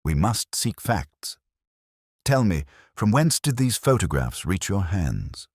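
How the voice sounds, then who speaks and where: A man's voice: low voice, very animated, slow, a little mellow, husky, dry recording, clean studio sound, voice pushed up, full character voice. A man voicing a keen, imperious master detective in an audiobook, speaking formally and precisely, with a lecturing emphasis. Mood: curt. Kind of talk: audiobook detective story dialogue.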